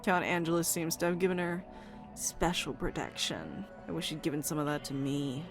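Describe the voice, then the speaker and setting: A woman playing a sarcastic, bratty vampire deity in an audio drama, flippant, slangy and impatient. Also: sits low. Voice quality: creaky. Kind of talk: visual-novel audio drama.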